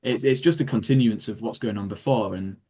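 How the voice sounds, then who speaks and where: A man answers questions after a history talk, speaking on the low side of the male range. He rambles a little, restarting sentences and filling pauses with ums. The sound comes through a video call. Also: a little mellow. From England. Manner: rambling, self-correcting, with frequent ums and restarts. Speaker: guest speaker on Viking history.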